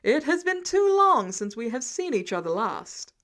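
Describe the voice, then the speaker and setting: Woman putting on a loud, booming Norse god. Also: big pitch swings, moderate pace. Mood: hearty delight. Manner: hearty, grandiose, formal old-fashioned phrasing. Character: booming, jovial Norse god warrior, adult man, grandly formal.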